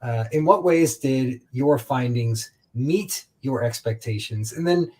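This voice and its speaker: Male host, mid-range voice, reflective and articulate, measured conversational questioning. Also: mid-range voice, a little mellow. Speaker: host, interviewer. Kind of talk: conversational interview hosting.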